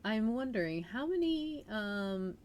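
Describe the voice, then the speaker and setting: A woman's voice: on the high side, big pitch swings, measured pace, darkish tone, a little hiss or hum. A woman hosting a livestream, chatting informally and reading a story aloud in a giggly, chatty way. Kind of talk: casual livestream chat and audiobook-style reading.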